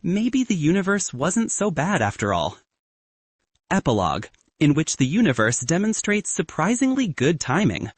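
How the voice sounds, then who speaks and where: A man narrating a romantic story as an audiobook, reading with expressive storytelling. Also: fairly high pitch, normal speed, breathy edge, no room sound.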